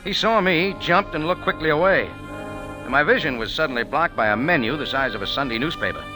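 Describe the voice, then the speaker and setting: A man's voice: a little high, expressive, a little fast, lightly acted, poor audio. An actor playing a wry, tough American cafe owner and sleuth, telling his story in punchy hardboiled radio-drama style. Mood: wry, watchful. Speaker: lead character and narrator. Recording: old radio recording with background music.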